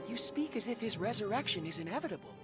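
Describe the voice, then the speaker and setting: A man's voice: high-pitched, expressive, fast, over loud music. An actor playing an earnest young male hero in a fantasy video game, questioning what he hears. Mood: puzzled, concerned.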